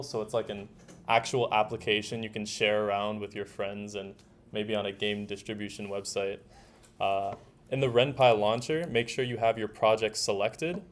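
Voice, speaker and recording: A young man leading a workshop, explaining software steps to a group in an informal, laid-back way. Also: medium pitch. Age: young adult. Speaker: workshop leader. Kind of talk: casual software tutorial to a group. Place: indoor room with a group at a workshop.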